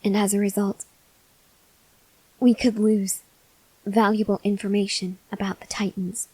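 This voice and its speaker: A woman playing a weak, starving female vampire researcher in an ASMR roleplay, speaking softly and haltingly, often dropping to a whisper. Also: mid-range voice, little pitch movement, husky, no echo, low-quality recording. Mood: weary, desperate. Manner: slow, halting delivery with long pauses. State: weak, faint with hunger (acted). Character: starving female vampire researcher, weary, earnest, gently pleading. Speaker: voice actor. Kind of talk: ASMR roleplay, acted monologue to listener.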